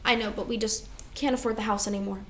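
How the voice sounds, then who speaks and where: A woman's voice: mid-range voice, steady tone, quick pace, fairly bright tone, a little hiss or hum, natural voice. A woman in a staged play reading, playing a devoted older sister burdened by grief and responsibility, speaking earnestly and emotionally. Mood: weary, reassuring. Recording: echoey room recording.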